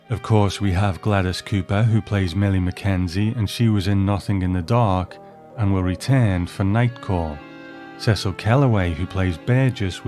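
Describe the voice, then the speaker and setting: A man's voice: low voice, darkish tone. A man hosting and narrating a scripted review with story readings. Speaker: host and narrator.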